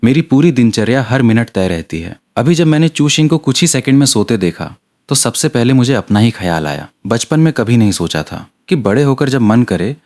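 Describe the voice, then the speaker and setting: A man's voice: medium pitch, sing-song, normal speed, a little mellow. A man voicing a popular young actress who speaks candidly and reflectively in an audio story. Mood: wistful, candid.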